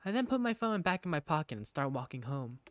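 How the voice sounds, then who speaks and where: A woman's voice: low voice, sing-song, quick pace. A woman playing an affectionate young working big sister, narrating her own story in a visual novel fan dub. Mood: weary, reflective. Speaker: narrator and protagonist. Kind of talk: first-person visual novel narration and dialogue.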